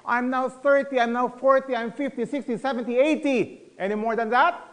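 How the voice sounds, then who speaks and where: A man's voice: very high voice, steady tone, moderate pace, fairly bright tone. A middle-aged man teaching a Bible study in a conversational, emphatic way, asking the audience questions. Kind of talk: sermon.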